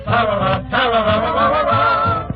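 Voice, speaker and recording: A man's voice: high-pitched, big pitch swings, measured pace. A man singing and half-speaking a comic novelty song in 1940s radio style. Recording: dull old radio music recording.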